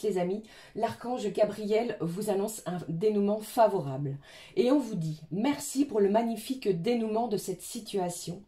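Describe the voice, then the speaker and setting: A French woman reads oracle cards in a soft, hesitant way, her voice hoarse from a cold. Her tone is mellow and dark, and her pitch sits in the middle. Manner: gentle, many hesitations. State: has a cold, hoarse and coughing. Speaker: tarot and oracle card reader. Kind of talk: weekly card reading guidance.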